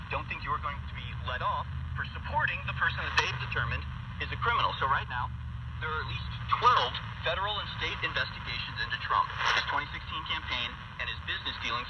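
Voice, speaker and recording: A man hosting a political talk show, giving emphatic, alarmist commentary and reading articles aloud with dramatic pauses, heard over shortwave radio. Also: on the high side, highs cut off. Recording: shortwave radio playback in noisy outdoors, distant. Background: loud outdoor ambient noise, vehicles.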